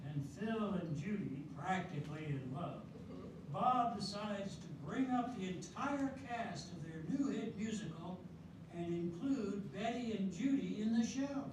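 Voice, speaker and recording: An older man narrating a readers theatre show in a steady, measured way, recorded from far off in an echoey hall. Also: high voice, noisy background. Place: senior center hall.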